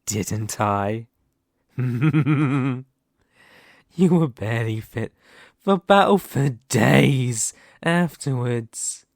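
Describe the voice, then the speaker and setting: Man acting a slow, menacing, gloating demon lord. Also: medium pitch, lively intonation, vocal fry, no echo, clean studio sound, full character voice. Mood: smug gloating. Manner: slow, menacing, taunting with drawn-out words. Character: dominant, gloating, unhinged male demon lord. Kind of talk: ASMR fantasy roleplay acting.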